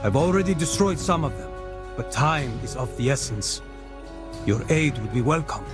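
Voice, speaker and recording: Purposeful game mentor, played by a man: medium pitch, grave, formal phrasing, loud score behind. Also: animated, lightly acted. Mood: encouraging, purposeful. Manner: formal, measured. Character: grave, knowing male warrior mentor, purposeful and encouraging. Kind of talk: dramatic video game acting. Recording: loud music over dialogue.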